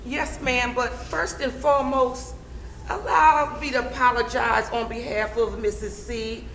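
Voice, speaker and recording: Enslaved messenger played by a woman: gossipy, halting Southern period dialect, stage acting, roomy sound, faint noise. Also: fairly high pitch, fairly even intonation, a bit bright. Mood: deferential. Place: stage of a theatre or hall.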